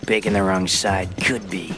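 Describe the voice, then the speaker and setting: Man acting a deep, gruff resistance fighter. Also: flat delivery, moderate pace, music in the background, natural voice. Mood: menacing. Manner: curt, sardonic, menacing. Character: gruff, distrustful underground resistance fighter, former guard. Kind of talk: video game cutscene acting.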